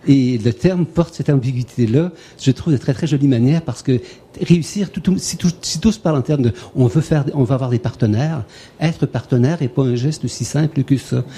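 A man's voice: medium pitch, a little fast, warm tone, breathy edge. A man from Quebec with a Québécois French accent, a conference participant who works through a long anecdote and repeats words as he searches for them. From Canada, Quebec. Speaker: conference participant asking a question. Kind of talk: academic discussion with anecdotes.

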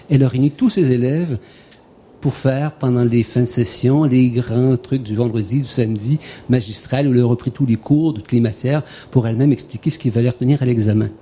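A man's voice: mid-range voice, mellow, slight background noise. A man from Quebec with a Québécois French accent, a conference participant who works through a long anecdote and repeats words as he searches for them. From Canada, Quebec. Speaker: conference participant asking a question. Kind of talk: academic discussion with anecdotes.

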